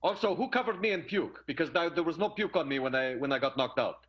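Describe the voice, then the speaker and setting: A man's voice: on the high side, animated, fast, lo-fi sound. A man playing a dim, earnest Dutchman in an improvised comic radio soap, stammering and wordy in formal phrases. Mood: puzzled, earnest. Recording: guitar music behind the voice. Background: plucked guitar music.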